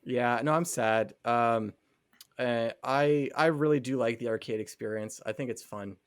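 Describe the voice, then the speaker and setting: A man's voice: medium pitch, a little slow, smooth, dry recording, clean studio sound. A man co-hosting a podcast, chatting casually and thinking aloud a little hesitantly. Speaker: podcast co-host. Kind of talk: casual chat.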